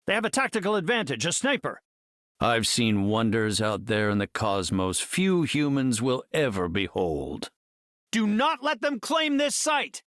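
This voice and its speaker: An actor playing a brilliant middle-aged scientist superhero in a video game, articulate, formal and earnest in his measured delivery. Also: high voice, fairly bright tone, no room sound, studio quality. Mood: urgent, commanding, then calm, impressed. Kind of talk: scripted game character voice lines.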